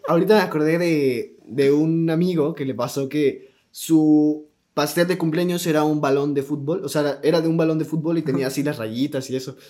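Animated young Mexican man. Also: fairly high pitch, a little fast. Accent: Mexican Spanish. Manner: animated storyteller, slangy. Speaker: podcast co-host. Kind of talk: casual chat.

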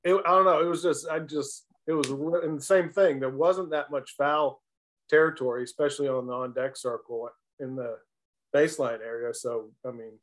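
A man's voice: medium pitch, fairly even intonation, normal speed, a bit bright, low-quality recording. A man chatting casually as an interview guest, reminiscing in a conversational way. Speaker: interview guest, former baseball player.

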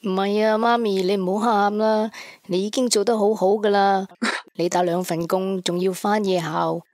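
A woman's voice: medium pitch, steady tone, moderate pace, darkish tone, no echo, studio quality, lightly acted. A woman with a Hong Kong Cantonese accent voicing an earnest teenage boy in a radio drama, who talks in a stammering, sulky way. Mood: tender. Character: earnest teenage boy, devoted to his mother.

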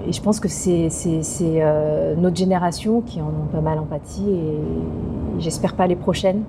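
Woman on a podcast, kinda low voice, stops n restarts a lot, noisy traffic behind. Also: steady tone, a little slow, creaky. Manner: hesitant, searching for words. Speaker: podcast co-host. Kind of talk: casual podcast conversation.

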